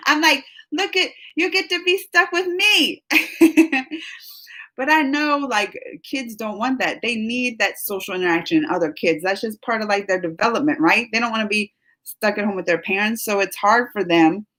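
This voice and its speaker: A woman's voice: on the high side, normal speed, fairly bright tone. A woman in her thirties hosting a casual live stream, talking in an emotional, rambling way with many restarts and fillers. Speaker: livestream host.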